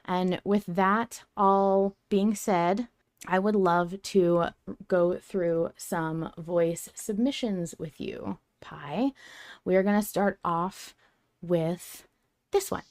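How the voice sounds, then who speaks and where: A woman's voice: lowish voice, slow pace, darkish tone, dry recording. Woman podcast host, close and dry sound. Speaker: podcast host presenting listener voice submissions. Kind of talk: conversational podcast hosting.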